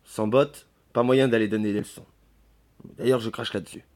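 A man's voice: medium pitch, fairly even intonation, moderate pace, a little mellow, lightly acted. A man voicing a sullen, penniless young male lodger in a novel reading.

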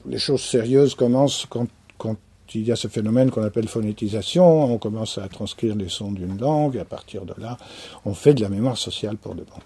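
Husky, mellow-voiced French-born man. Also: medium pitch. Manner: thoughtful, pauses and repeats key phrases. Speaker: anthropologist. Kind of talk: reflective interview answer.

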